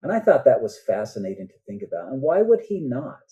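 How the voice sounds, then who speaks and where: A man's voice: mid-range voice, very animated, fast talker, mellow, husky, dull audio. An older man talking as a guest author in an interview, explaining his thoughts earnestly in a conversational way.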